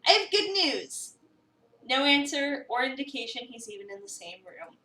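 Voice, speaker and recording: Girl streamer doing dramatic game reading, high bright voice, kinda echoey and off the mic. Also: big pitch swings, normal speed, far from the mic. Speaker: streamer reading a visual novel aloud. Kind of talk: dramatic reading of a game script with casual chat asides. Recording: roomy echo, away from the mic.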